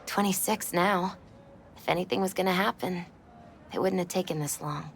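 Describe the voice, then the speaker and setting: A woman playing a melancholy girlfriend in her twenties, grieving in a video game scene with quiet, reflective, halting phrases. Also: on the low side, gravelly, a little hiss or hum. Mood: wistful, sad. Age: 20s. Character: wistful twenty-six-year-old grieving girlfriend, soft-hearted, melancholy. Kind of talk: cinematic video game acting.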